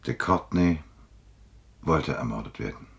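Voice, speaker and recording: An actor playing a determined, thoughtful investigator in a seventies radio drama. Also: male voice, a bass voice, lively intonation, a little slow, creaky, highs cut off, heavily acted. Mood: resolute. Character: determined male investigator probing a murder, thoughtful and insistent. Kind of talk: 1970s German radio drama acting.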